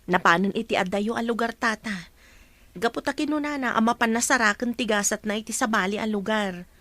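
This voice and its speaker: A woman's voice: a little high, fairly quick, a bit bright, no echo, close to an everyday voice. A woman acting a caring, earnest young friend in an Ilocano audio drama. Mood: regretful.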